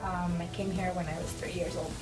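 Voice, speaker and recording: A woman's voice: fairly low pitch, little pitch movement, fairly quick. A young woman from Mexico, a college student, talking conversationally with fillers. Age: young adult. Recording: roomy, distant mic, faint music.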